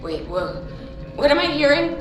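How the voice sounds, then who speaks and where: A woman's voice: sits high, very animated, normal speed, fairly bright tone, soft background music. A woman playing a captive test subject in a sci-fi audio drama. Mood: startled, alarmed. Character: female captive test subject in a glass cube. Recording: very echoey, distant from the mic.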